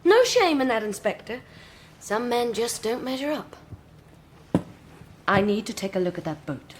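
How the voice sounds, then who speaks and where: A self-assured army investigator in a radio crime drama. Her voice is fairly high and very animated, with a cool, condescending edge. Faint noise runs behind it. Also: normal speed. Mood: taunting.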